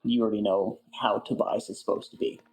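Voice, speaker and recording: A man's voice: on the low side, fairly even intonation, fairly quick, darkish tone, rough recording. A man being interviewed in a casual chat, speaking in a hesitant, thoughtful way. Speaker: interview guest, amateur voice actor. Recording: music playing behind. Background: background music.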